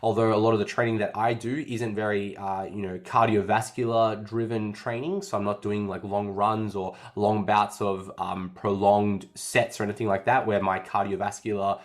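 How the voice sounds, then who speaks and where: A man's voice: fairly low pitch, little pitch movement, normal speed, hardly any pauses. A man, a fitness coach hosting a casual podcast, slightly nasal after an illness and chatty, often repeating phrases.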